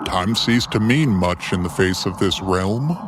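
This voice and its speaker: A man narrating a scripted fantasy story in a theatrical, whimsical way with deliberate pauses. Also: low voice, animated, background noise.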